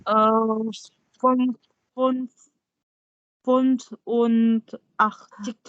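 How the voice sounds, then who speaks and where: Hesitant, very slow woman student. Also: fairly high pitch, little pitch movement, no echo. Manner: hesitant, tries numbers word by word. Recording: dull, dry lo-fi audio.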